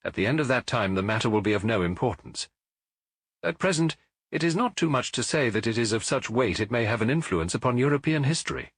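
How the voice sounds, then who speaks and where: A man voicing a grave, imperious Bohemian king in disguise for an audiobook, speaking formally and deliberately. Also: mid-range voice, lively intonation, breathy edge, dry recording. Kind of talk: audiobook character voice.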